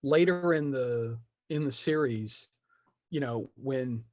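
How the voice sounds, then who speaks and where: A man's voice: medium pitch, takes it slowly, darkish tone, no room sound. A man in a casual podcast chat, opinionated and rambling as he thinks aloud. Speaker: podcast speaker discussing film franchises.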